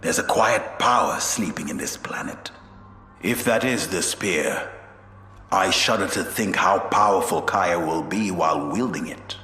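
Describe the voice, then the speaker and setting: An actor playing a wary armored space warrior, speaking in a measured way. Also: male voice, deep voice, pushed and bright, soft background music. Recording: echoey room, long reverb.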